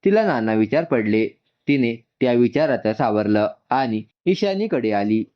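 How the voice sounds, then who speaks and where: A male storyteller with a lively, swinging pitch reads a scripted tale. His voice sits in a middle register. The recording is a little dull and muffled. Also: measured pace. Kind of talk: scripted story narration with dialogue.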